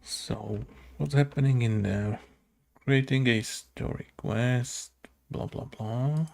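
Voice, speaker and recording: A man thinking aloud casually as he codes, talking haltingly and muttering to himself like a developer working through a tutorial. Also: mid-range voice, creaky, a little mellow. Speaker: game developer making a coding tutorial.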